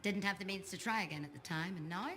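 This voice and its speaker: An actress playing a friendly, down-to-earth mechanic at a sci-fi fort in a video game, warm and familiar in conversation. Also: female voice, medium pitch, fast talker, a little hiss or hum, natural voice. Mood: friendly, candid.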